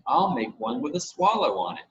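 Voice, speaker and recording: A man's voice: medium pitch, very animated, moderate pace, a bit bright. A man voicing an earnest, bossy young girl in a storybook, correcting others emphatically. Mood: bossy, emphatic. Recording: muffled home recording with hiss.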